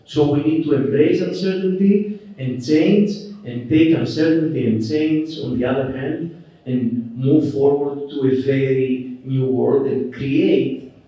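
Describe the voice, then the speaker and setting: A man with a Greek accent giving a relaxed, conversational conference keynote, dotted with "okay" and "uh". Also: medium pitch, a little slow. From Greece. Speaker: keynote speaker. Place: conference hall. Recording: roomy, distant, dull hall recording.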